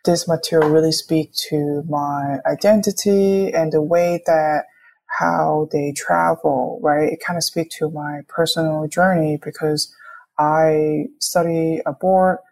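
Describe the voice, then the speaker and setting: Low-pitched young woman from Hong Kong. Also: a little mellow. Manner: explanatory, often checks with "right?". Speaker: artist, interview guest. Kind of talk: conversational interview, reflective.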